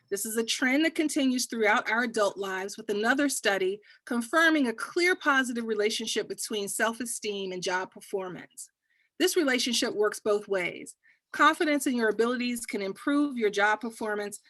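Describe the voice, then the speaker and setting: A woman's voice: fairly high pitch, fairly bright tone, no echo. A woman with a Texas accent moderating a panel discussion, upbeat and cheering on her guests.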